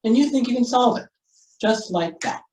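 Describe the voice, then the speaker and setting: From off the mic in an echoey room, a man acts an exasperated newspaper editor in an old radio play. He blusters with heavy sarcasm, his pitch rising and dropping dramatically. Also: expressive, normal speed, darkish tone, far from the mic. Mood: dry disbelief. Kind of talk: old-time radio comedy-thriller acting.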